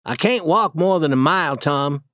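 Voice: medium pitch, lively intonation, moderate pace, bright, forceful tone, no echo, clean studio sound, pitched above the performer's own voice. A storybook reader voicing a timid, superstitious young boy who speaks hesitantly and stammers. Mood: nervous.

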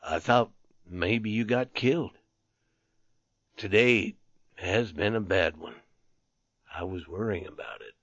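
A man voicing a mortally wounded soldier in a storybook reading, dull and hushed, halting, dazed and pleading. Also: on the low side, expressive, voice pushed down. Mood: weak, dazed. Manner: halting, slow, trailing off. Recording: roomy, dull recording.

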